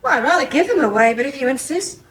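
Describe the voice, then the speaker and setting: Reasonable farming neighbour, British accent, high female voice, lively intonation, radio drama. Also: fast. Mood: friendly, awkward. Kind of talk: 1980s British radio soap acting.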